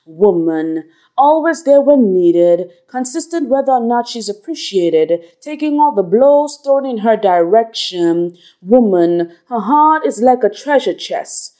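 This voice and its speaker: A woman recites spoken word poetry in a fairly high but very mellow, dark-toned voice. Her delivery is dramatic and emphatic. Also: animated, a little slow.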